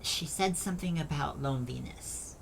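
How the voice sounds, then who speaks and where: A woman's voice: sits low, vocal fry, a little hiss or hum, poor audio. A woman playing an earnest, hesitant young man in an audio drama. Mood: subdued, hesitant. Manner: hesitant, slow.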